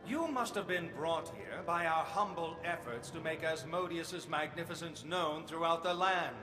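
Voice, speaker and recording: A man's voice: on the high side, normal speed, lightly acted. A man playing a courteous, fanatical doomsday cult leader in a video game, ceremonious and unctuous. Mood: serene, welcoming. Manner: unctuous, ceremonious, over-polite. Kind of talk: theatrical video game voice acting. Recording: echoey hall reverb over game music.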